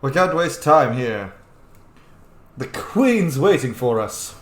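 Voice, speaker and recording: A man's voice: medium pitch, sing-song, slow pace. A man giving casual gameplay commentary as a roleplay let's-play host, slipping into asides in character. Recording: roomy echo, faint background noise. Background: game ambience.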